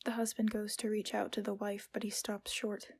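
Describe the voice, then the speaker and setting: A woman's husky voice, acting as a resigned go-between in an audio drama, narrating in measured words. The pitch stays flat and the tone is weary. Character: weary, unheeded mediator narrating a couple's quarrel.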